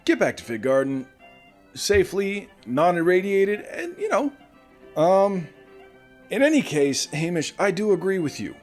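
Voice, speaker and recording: A man's voice: high-pitched, a little slow, faint music behind. A man playing a chatty, caring morning radio host in a post-apocalyptic audio drama, talking straight to his listeners. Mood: concerned, bemused. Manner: conversational, animated, addressing listeners directly.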